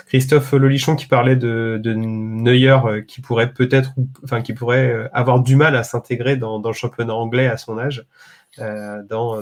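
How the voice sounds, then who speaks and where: A man hosts a casual podcast, mid-pitched and halting. He stacks up fillers before each point and then hands the floor to the others. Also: a little mellow. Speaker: podcast host. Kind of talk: hosting a podcast discussion.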